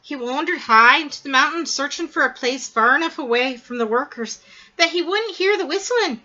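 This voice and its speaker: Woman reading kids story, bright high voice, really dramatic, kinda muffled lo-fi sound. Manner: animated, dramatic storytelling with drawn-out emphasis. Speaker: storybook reader. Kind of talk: expressive read-aloud of a children's story.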